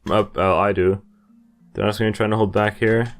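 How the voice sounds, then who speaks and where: A man's voice: fairly low pitch, fairly even intonation, moderate pace, soft background music. A young man giving casual game commentary and reading on-screen text aloud, easily amused and often chuckling. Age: young adult. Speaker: gamer recording a playthrough. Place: indoors at a desk, with game music behind.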